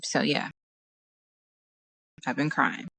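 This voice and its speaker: Tired hoarse woman with a low voice. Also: animated, slow pace, pushed and bright. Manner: meandering, searching for words, tired. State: hoarse from crying. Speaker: podcast host. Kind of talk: casual solo podcast talk. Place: at home.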